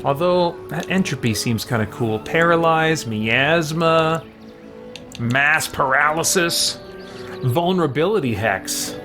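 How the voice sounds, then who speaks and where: A man's voice: a little high, expressive, slow. Man thinking aloud over faint game music. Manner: thinks aloud, reads things out. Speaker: game streamer. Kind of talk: casual live gameplay commentary.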